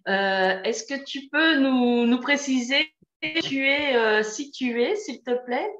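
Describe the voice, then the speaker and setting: A woman hosting a podcast interview, effusive and warm toward her guest, laughing often over a video call. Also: high voice, slow. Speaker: podcast host. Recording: echoey, muffled lo-fi call audio.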